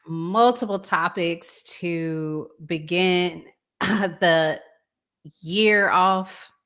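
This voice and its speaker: A woman with a lowish voice hosts a casual talk show. She speaks at a very slow, unhurried pace, setting up topics in colloquial language. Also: a bit bright, clean tone. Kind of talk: casual hosting, introducing topics.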